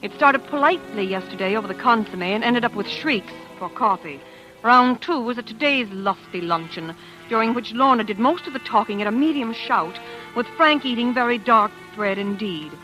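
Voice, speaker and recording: An actress playing an anxious, devoted wife in a 1940s radio suspense drama, fretful and dramatic. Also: female voice, a little high, expressive, normal speed, pushed and bright, rough recording. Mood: tense, brooding. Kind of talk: 1940s radio suspense acting, first-person narration and dialogue. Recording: old radio drama with music behind.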